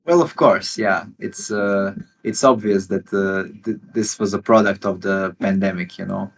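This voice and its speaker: A man with a low voice explains and demos his game in a live interview. He talks haltingly, often restarting a phrase. There is a faint hiss of noise behind him. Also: creaky. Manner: halting, with many fillers and restarts. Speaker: game developer. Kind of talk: explaining and demoing a game during a livestream interview.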